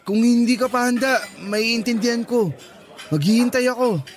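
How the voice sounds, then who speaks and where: A man's voice: very high voice, moderate pace, faint music behind. A man playing a cheeky, devoted young son and boyfriend in a radio drama, casual and playful. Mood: earnest.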